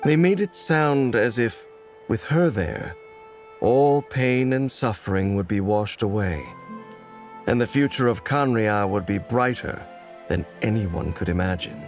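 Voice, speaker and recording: Grave, low-voiced brooding warrior. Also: male voice. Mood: somber, bitter. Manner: measured, solemn, formal. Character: grave, brooding male warrior, coldly determined. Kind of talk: English video game voice acting. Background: background music.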